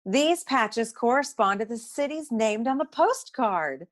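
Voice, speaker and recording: A woman's voice: on the high side, lively intonation, measured pace. A woman playing a practical, sympathetic detective's office associate in a noir radio play. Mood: urgent.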